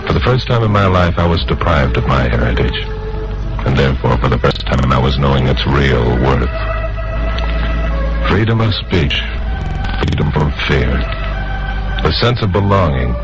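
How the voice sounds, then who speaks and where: A man's voice: rumbling low, low-quality recording. An American man acting as a bitter, earnest blacklisted broadcaster who narrates his own story in an old radio drama. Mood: solemn, earnest. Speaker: narrator and lead actor. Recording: old radio recording with loud organ music.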